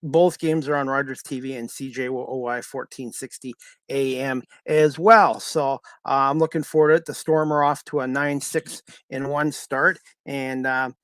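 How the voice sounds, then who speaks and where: A man hosts a casual live sports show. His delivery is halting and hesitant, and the sound is dry, with little room around the voice. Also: medium pitch, steady tone, fairly bright tone, no room sound. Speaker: podcast host. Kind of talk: casual live sports talk with scripted-sounding news updates.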